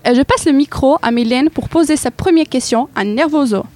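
A woman's voice: high-pitched, fairly quick, darkish tone, no room sound. A woman student presenting a school radio show, reading her introduction carefully. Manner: careful, read-aloud delivery.

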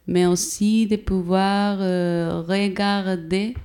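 Femme non native, ton chaud, réponses hésitantes en interview. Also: female voice, mid-range voice, flat delivery, a little mellow, clear, resonant tone. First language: not French. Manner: halting learner's French, many hesitations. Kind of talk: radio interview answer.

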